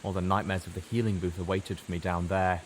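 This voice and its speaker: Low-voiced man narrating dramatic fiction. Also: little pitch movement, a little fast. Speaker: story narrator. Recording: faint background hiss.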